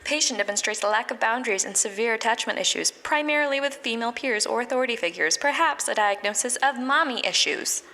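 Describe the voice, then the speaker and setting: An actress playing an earnest, anxious young female medical intern in an audio drama diary, rambling and self-conscious with halting phrases. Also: fairly high pitch, animated, pushed and bright, echoey room. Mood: wry, exasperated. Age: young adult. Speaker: actor.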